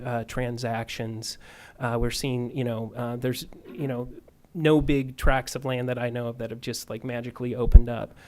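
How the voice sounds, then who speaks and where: Male appraiser on a panel, mid-pitched, hesitant with many fillers, meeting room. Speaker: commercial real estate appraiser on a panel. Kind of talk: panel Q&A answers.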